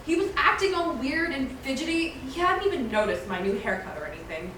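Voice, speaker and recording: A woman playing a dramatic, heartbroken sixteen-year-old high school girl at a screenplay table read, with whiny, emphatic delivery. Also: very animated, a little fast, fairly bright tone. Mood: anxious recounting. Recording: very echoey room, distant mic, faint noise.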